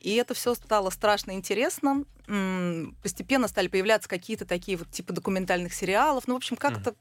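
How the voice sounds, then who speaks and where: A woman's voice: medium pitch, quick pace, no echo. Chatty middle-aged woman, dry studio sound. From Israel. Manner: chatty, rambling, laughs often. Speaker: guest costume designer. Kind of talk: casual interview talk. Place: radio studio.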